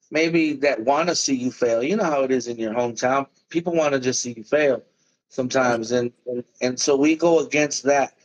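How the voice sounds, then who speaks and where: A man in a relaxed, casual interview, talking about his music in long, loose sentences. He throws in "man" and "bro" as he goes. The recording sounds a little dull and lo-fi. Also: fairly high pitch. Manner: laid-back, talks in loose run-on sentences with "man" and "bro". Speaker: musician guest.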